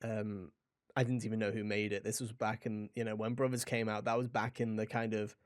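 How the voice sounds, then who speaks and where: A man's voice: fairly low pitch, flat delivery, fairly quick. Rambling, informal male podcast host. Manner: rambling, informal, addresses listeners directly. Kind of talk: casual video game podcast chat.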